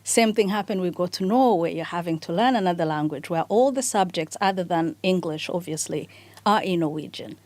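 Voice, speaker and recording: A woman from Rwanda, co-hosting a casual radio podcast and talking in a relaxed way with restarts. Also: lowish voice, brisk, no echo. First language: Kinyarwanda. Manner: relaxed, thinks aloud with restarts. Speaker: co-host.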